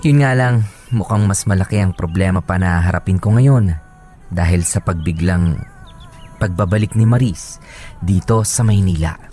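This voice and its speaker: A man's voice: on the low side, darkish tone, close to an everyday voice. A man acting as a troubled, unfaithful young man who narrates his secret affair in a radio drama. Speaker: story narrator. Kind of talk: dramatized first-person radio story narration.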